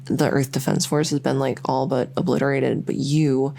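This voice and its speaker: A woman's voice: extremely low pitch, little pitch movement, normal speed, vocal fry, mellow, full character voice. A woman voicing a lonely, socially awkward vampire who rambles enthusiastically in an ASMR audio roleplay. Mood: nerdy enthusiasm. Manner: rambling, enthusiastic info-dumping, many fillers. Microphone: close ASMR microphone.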